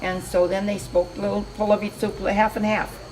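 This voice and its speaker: A woman's voice: mid-range voice, level tone, brisk, noisy background. An older woman from Seattle being interviewed, reminiscing in a chatty, meandering way with frequent restarts. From Seattle, USA.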